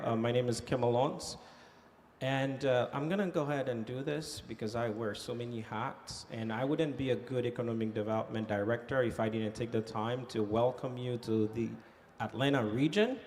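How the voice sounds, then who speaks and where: A man who grew up in Haiti speaks on a conference panel, relating his own experience in an easy, story-telling way with plenty of "uh" and "um". His pitch sits in a middle range. A faint background noise runs under the voice. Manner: animated storytelling with frequent fillers. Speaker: panelist, economic development director. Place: conference hall.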